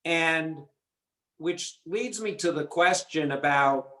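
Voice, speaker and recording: Guy rambling through a question, lots of you knows, higher voice, echoey room. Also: slow pace, sharp tone. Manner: rambling, hesitant, full of "you know" and restarts. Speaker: audience member asking a question.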